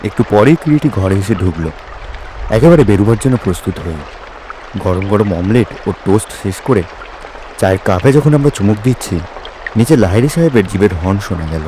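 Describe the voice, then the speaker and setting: Loud watery ambience surrounds a low male voice played by a man. He is the detective's companion, recounting a mystery in first person for an audio drama. His delivery is measured, literary and atmospheric. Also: animated, noisy background, close to an everyday voice. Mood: measured, suspenseful. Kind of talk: dramatic literary story narration.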